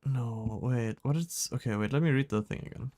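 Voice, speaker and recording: A male game streamer with an average-pitched voice. He chats casually, thinks aloud, reads bits of text out and pokes fun at himself. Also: moderate pace, a little mellow. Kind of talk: casual gameplay chat, reading clues aloud.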